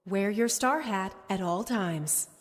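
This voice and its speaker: An actress playing an eerily polite theme park safety announcer, formal and unsettlingly pleasant. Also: female voice, medium pitch, slow pace, close to an everyday voice. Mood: polite, eerie. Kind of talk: public address announcement. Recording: long hall reverb over faint music.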